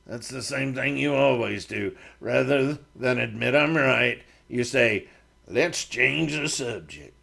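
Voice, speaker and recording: Stubborn frontiersman, slow gravelly grumble, read by a male narrator. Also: medium pitch, bright, forceful tone, full character voice. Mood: grumbling.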